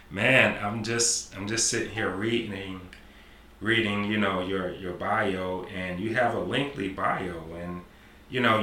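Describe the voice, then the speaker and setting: Deep-voiced man hosting, roomy sound. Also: sharp tone. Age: 40s. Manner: repetitive, affirming, talkative. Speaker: podcast host. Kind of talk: casual interview. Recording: roomy sound with faint noise.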